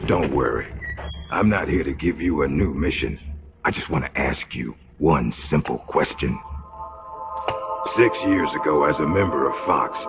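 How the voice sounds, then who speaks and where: Very deep, gravelly military interrogator. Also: male voice, exaggerated voice. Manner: slow, deliberate, mock-courteous. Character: menacing male military interrogator, smugly polite and sadistic. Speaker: voice actor in a game cutscene. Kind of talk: dramatic video game acting. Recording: dull lo-fi game audio, loud music.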